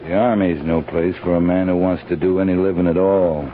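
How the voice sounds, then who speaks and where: An American actor playing a gruff, world-weary cavalry captain in a 1950s radio western. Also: male voice, sits low, fairly even intonation, brisk, lightly acted. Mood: wry, weary. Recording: tinny, noisy old radio recording.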